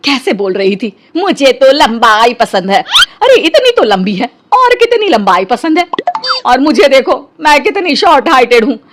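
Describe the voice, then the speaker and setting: A woman's voice: sits high, sing-song, moderate pace, background noise. A woman voicing a short, insecure, complaining middle-aged mother-in-law in a cartoon story. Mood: indignant. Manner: fretful, complaining.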